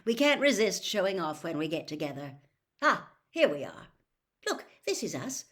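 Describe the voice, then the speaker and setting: Lady doing a cheery middle-aged wizard dad from a storybook, eager and genial, gets excited easy. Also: lowish voice, normal speed. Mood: happy. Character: cheerful, enthusiastic middle-aged wizard father, easily overexcited. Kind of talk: storybook character voice.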